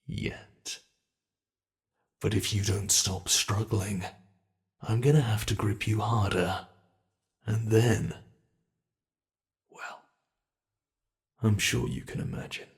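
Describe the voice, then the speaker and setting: A British man doing a gravelly taunting bed monster. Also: lowish voice. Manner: slow, taunting, menacing, drifting into whispers. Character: sadistic male monster that hunts from under the bed. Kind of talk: ASMR horror roleplay.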